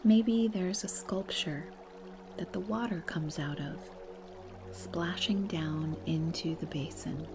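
Slow, low-voiced woman guiding meditation. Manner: soft, breathy, unhurried, soothing delivery. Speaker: guided meditation host. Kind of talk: slow guided meditation narration. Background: meditation music.

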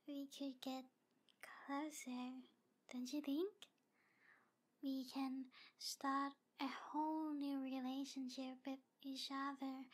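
A woman voicing a jealous, lovesick female demon in an ASMR roleplay, halting and breathy, often slipping toward a whisper. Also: high-pitched. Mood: coy, inviting. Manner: breathy, halting delivery with whispered phrases and giggles. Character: jealous, obsessive female demon in love, taunting and wounded. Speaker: audio roleplay performer.

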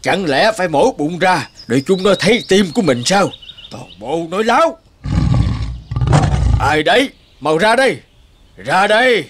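A man voicing a gruff male lion in a cartoon, blustering and stammering. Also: high voice, lively intonation, unhurried, long pauses, noisy background. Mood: grumbling. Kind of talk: cartoon voice acting. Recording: music behind.

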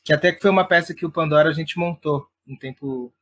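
Higher-pitched São man, chatting enthusiastically. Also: quick pace, fairly bright tone. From Brazil. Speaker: guest, playwright and theatre director. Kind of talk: casual interview talk.

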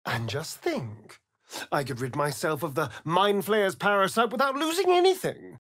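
An actor with an English accent playing a sardonic, flamboyant vampire spawn rogue in a video game, theatrical and arch in manner. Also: male voice, sits high, big pitch swings, normal speed, a bit bright, dry recording, studio quality. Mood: scheming. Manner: theatrical, arch, drawling with sighs. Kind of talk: video game character acting.

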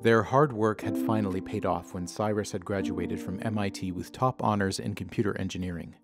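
A man narrates a scripted drama podcast in a measured, storytelling way. His voice sits on the low side with a clear gravelly fry. Music plays clearly underneath the narration. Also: steady tone.

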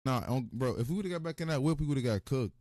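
A man's voice: medium pitch, lively intonation, fast talker, very noisy. A man chatting casually during gameplay in profane, repetitive slang.

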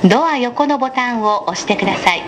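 Female transit announcer, higher-pitched, formal and measured, recorded in a noisy train carriage. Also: animated. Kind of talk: scripted public transit announcement. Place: inside a moving train carriage. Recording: loud train rumble over onboard speaker. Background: train running noise.

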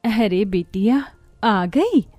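A woman's voice: medium pitch, animated, very slow, mellow, no room sound, faint music behind. A woman voicing a stern, bossy village mother in a cartoon story, giving commands. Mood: calling out.

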